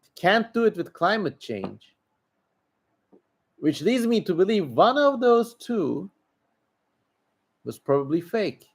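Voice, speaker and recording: A man with a Turkish accent speaks in a somewhat high voice at a very slow pace. He hosts a casual livestream, replying to viewers. His delivery is opinionated and rambling, with emphatic points. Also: big pitch swings, vocal fry, husky. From Turkey. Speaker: livestream host. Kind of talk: casual livestream commentary answering viewer questions.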